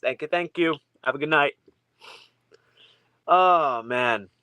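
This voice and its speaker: A man co-hosting a livestream in casual group chat, animated and laughing as he tells stories. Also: a little high, slow, smooth, no room sound. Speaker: co-host of a livestream.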